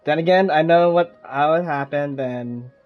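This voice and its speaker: A mid-pitched game streamer making casual remarks during play. The sound is a little dull and lo-fi, with game music underneath. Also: normal speed. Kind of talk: casual gameplay commentary.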